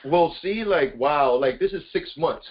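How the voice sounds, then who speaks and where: A man's voice: fairly high pitch, lively intonation, unhurried. A man hosting a casual motivational group call, speaking informally and hyping up his listeners directly. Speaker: conference call host. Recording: muffled lo-fi conference call.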